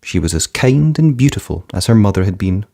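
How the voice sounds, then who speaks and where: Low-voiced man reading fairytales. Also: animated, fairly quick, gravelly, dark tone. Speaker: storybook narrator and channel presenter.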